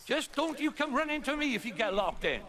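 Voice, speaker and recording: A man's voice: very high voice, very animated, a little fast, crowd noise, full character voice. An actor playing a defensive, grumbling male groundskeeper in a video game. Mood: aggrieved.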